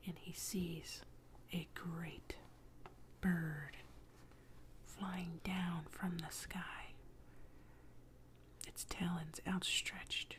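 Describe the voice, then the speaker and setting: A woman telling an ASMR bedtime story in a hushed, breathy whisper, close to the microphone. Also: lowish voice.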